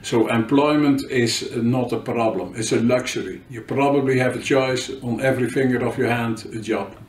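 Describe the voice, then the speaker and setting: A man's voice: mid-range voice, moderate pace, a little hiss or hum. Man speaking from afar in echoey room. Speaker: teacher, graduation mentor. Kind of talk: interview comment. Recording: roomy echo, distant mic.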